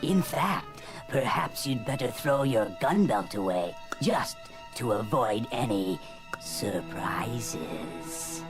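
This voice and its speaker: An actress playing a cold, gloating villain in a space opera audio drama, with a menacing, sneering delivery. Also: female voice, very deep voice, sing-song, slow pace, over music, full character voice. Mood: smug, menacing. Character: cold gloating villain Cipher, outlaw boss with a blaster.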